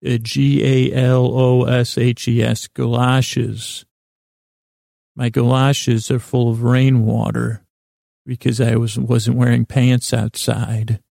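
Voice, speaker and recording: A man with a gravelly, mellow voice delivers a meandering comic bedtime monologue as a podcast host. His pitch stays fairly flat while he stammers, halts and drifts into tangents. The sound is close and dry. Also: dry recording. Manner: meandering, halting, stammering, trails off into digressions. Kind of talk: rambling improvised bedtime comedy monologue.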